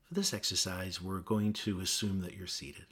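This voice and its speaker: A man gently leading a guided meditation with measured instructions and pauses. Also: sits low, steady tone, breathy edge.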